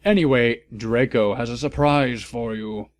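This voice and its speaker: A man's voice: mid-range voice, a little slow, natural voice. A man voicing an old wizard headmaster with a casual, matter-of-fact manner in a comedic story reading.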